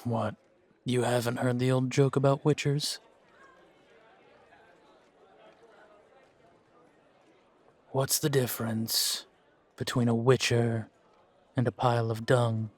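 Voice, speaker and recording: Male actor as gruff monster hunter, fantasy audio roleplay, flat intonation, slow delivery, wry, aloof, laconic. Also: mid-range voice, close to an everyday voice. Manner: deadpan, unhurried, laconic.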